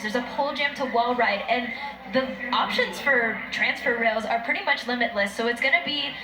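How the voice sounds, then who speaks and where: A woman's voice: on the high side, recorded from a distance. A woman giving color commentary on a snowboard contest, explaining the action with enthusiasm. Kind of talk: live sports commentary. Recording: roomy sound with music behind.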